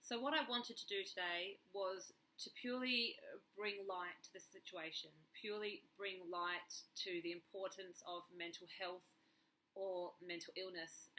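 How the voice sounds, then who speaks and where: Bright, forceful, slightly croaky woman vlogger. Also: medium pitch, a little hiss or hum, poor audio. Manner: earnest, emphatic, repeats key words for stress. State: slightly unwell, a bit croaky. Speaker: YouTube vlogger. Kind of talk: earnest personal talk to camera. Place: carpeted room at home, indoors.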